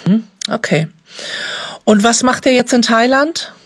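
A woman's voice: a little high, a little slow, slightly rough, no echo, clean studio sound. A woman hosting a podcast interview, welcoming her guests and asking friendly, encouraging questions.

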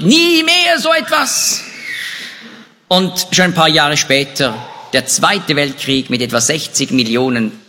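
Animated man lecturing in an echoey hall. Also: fairly high pitch. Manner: lively, animated delivery with hesitations. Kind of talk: lecture on European history.

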